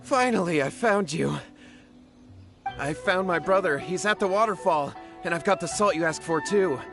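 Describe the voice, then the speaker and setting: A man's voice: sits high, normal speed, music in the background. An actor playing a nervous young man in a game, stammering and evasive. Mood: urgent. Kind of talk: game voice acting.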